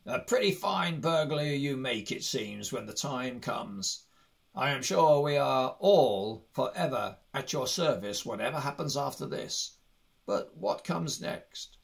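A man's voice: mid-range voice, animated, measured pace, gravelly. A man voicing a proud captive dwarf leader with a formal, pompous manner in a storybook reading. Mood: impressed, formal. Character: proud captive male dwarf leader, gruffly grateful, grand.

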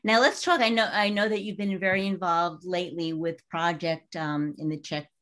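A woman interviewing a guest over a video call from home, encouraging and a little halting as she frames her questions. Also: lowish voice, fairly even intonation, fairly bright tone. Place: home room with bookshelves. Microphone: webcam on video call.

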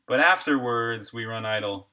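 A man's voice: mid-range voice, moderate pace, sharp tone. A man streaming his game development live, talking casually through his code and reacting to chat. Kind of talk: live coding stream, thinking aloud.

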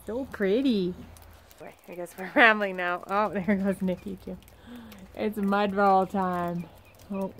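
A woman's voice: mid-range voice, fairly even intonation, takes it slowly, fairly bright tone, a little hiss or hum. A young woman in her twenties chatting casually to her phone camera at a barn, talking fondly to her horses between her comments. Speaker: vlogger, horse trainer. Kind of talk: casual vlog chat and voice-over. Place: outdoors at a barn and paddock. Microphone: phone held at arm's length.

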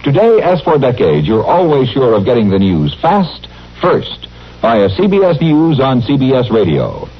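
Old time radio announcer guy, bit raspy, punchy delivery, pitch moves a lot, dull sound. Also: wildly swooping pitch, normal speed. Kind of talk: 1950s radio promotional announcement. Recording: muffled old radio recording.

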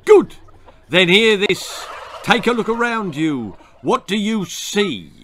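Bullying turkey ghost, military bark, sneering, high pitch, wildly animated, storybook character. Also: male voice, takes it slowly, a little hiss or hum, exaggerated voice. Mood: impatient, forceful. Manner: barking, sneering military commands. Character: bossy drill-sergeant turkey ghost, male, younger, menacing.

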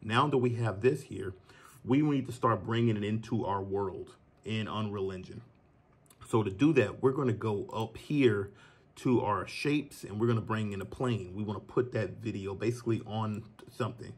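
A man in his thirties giving a relaxed, chatty software tutorial from his home office, recorded on a phone and talking to viewers as y'all. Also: on the low side, normal speed, breathy edge. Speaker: filmmaker teaching a tutorial. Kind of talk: casual screen-recorded tutorial. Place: green screen studio, then home office.

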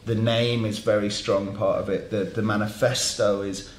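Fashion journalist chairing a studio panel. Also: male voice, lowish voice, level tone, normal speed, roomy sound, poor audio. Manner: rambling, many restarts. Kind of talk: panel discussion. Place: dark studio around a table.

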